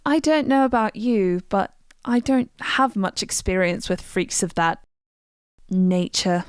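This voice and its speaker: A woman playing a composed, wry female adventurer companion in scripted fantasy game dialogue, speaking in a measured, deliberate way. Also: medium pitch, clean tone, dry recording, clean studio sound, natural voice. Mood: wry, recounting.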